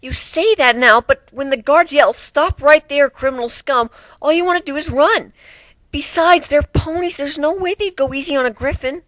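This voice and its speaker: A man's voice: very high voice, normal speed, a bit bright, no echo, voice pushed up. A man voicing a young female griffin on trial in a dramatic story reading, timid and defensive, with a hesitant, stammering delivery. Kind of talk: dramatic fan fiction reading.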